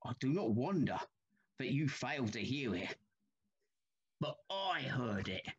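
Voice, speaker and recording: An actor playing an anxious, haunted older railway signalman in a Victorian ghost story, troubled and halting, with formal old-fashioned speech. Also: mid-range voice, big pitch swings, husky, muffled recording. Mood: haunted, insistent.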